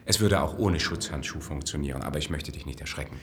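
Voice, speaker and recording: An actor playing an erudite, keen male experimenter with sound in a science-fiction radio play, speaking in a deliberate, precise, lecturing way. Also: a bass voice, echoey room, a little hiss or hum. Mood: calmly instructing.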